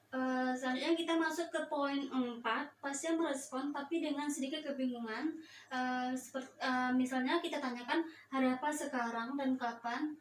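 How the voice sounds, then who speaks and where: Young woman, high voice, hesitant student demonstrator, step-by-step instruction, roomy distant sound. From Indonesia. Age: young adult. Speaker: nursing student giving a practical demonstration. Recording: echoey room, distant mic, faint noise.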